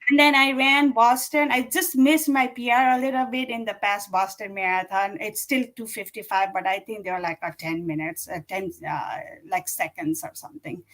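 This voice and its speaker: A woman's voice: medium pitch, steady tone, normal speed, few pauses, a bit bright, poor audio. A woman, a guest chatting casually and rambling with many fillers. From Nepal. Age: 40s. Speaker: guest runner. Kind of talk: casual interview chat.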